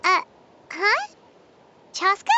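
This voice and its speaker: A woman voicing a small, excitable female floating fairy companion in a video game. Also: very high-pitched, drawn-out words, slight background noise, heavily acted. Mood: chirpy. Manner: refers to self in third person, exclaiming. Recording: dull audio under loud music.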